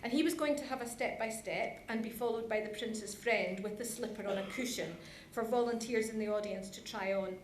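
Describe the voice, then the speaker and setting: Female presenter, fairly high voice, informal and chatty, reverberant conference hall. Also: fairly quick, hardly any pauses. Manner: chatty, addresses the audience directly. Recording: echoey hall, some background noise.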